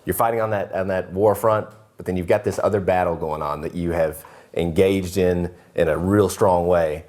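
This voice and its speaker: A man's voice: deep voice, steady tone, normal speed, a little mellow. A man interviewing a guest, enthusiastic and repeating words as he searches for them. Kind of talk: interview questions.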